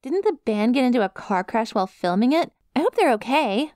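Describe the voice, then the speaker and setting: A woman's voice: a little high, sing-song, a little fast, a little mellow, dry recording, clean studio sound. A woman voicing a friendly, scatterbrained dog-girl student in an ASMR roleplay, with a casual, rambling, easily sidetracked manner. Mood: sheepish, rambling. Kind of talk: ASMR roleplay, one-sided conversation with listener.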